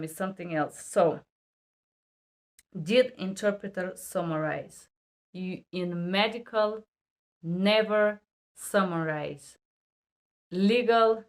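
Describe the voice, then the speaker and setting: A woman who works as a medical and legal interpreter, teaching to camera in emphatic, non-native English and bluntly repeating key rules. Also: lowish voice. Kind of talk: instructional talk to camera.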